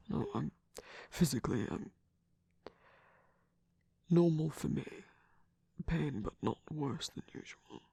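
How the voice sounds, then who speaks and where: A performer voicing a proud, wounded villain who is secretly vulnerable, in a hesitant, breathy ASMR romance roleplay with frequent whispering. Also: mid-range voice, mellow, dry recording, heavily acted. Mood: weary, vulnerable. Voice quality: breathy, husky, often whispering. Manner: hesitant, halting, sighing.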